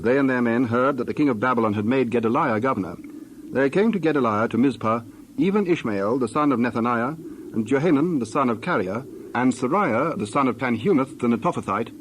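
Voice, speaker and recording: A male narrator reads scripture in a solemn manner. His voice is medium in pitch and moves in measured phrases. There is faint ambient noise behind him. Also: animated, rough recording. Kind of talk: dramatized Bible narration.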